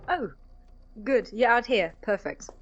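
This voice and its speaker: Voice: medium pitch, animated, takes it slowly, dull audio, slight background noise. A performer playing a breezy, casual young male college roommate in an audio drama, offhand and easygoing. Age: young adult.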